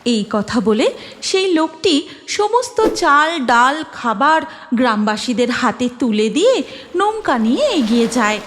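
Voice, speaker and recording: A woman's voice: sits high, very animated, measured pace, a bit bright, slight background noise. A woman narrating a scripted cartoon story as its storyteller.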